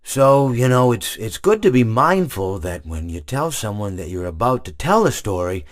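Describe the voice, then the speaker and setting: A gentle old hippopotamus animatronic drifts from one tangent to the next, stammering on his restarts. The voice sits a little low but rises and falls a lot as he muses. It suits game voice lines for a harmless, rambling old creature. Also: big pitch swings, moderate pace.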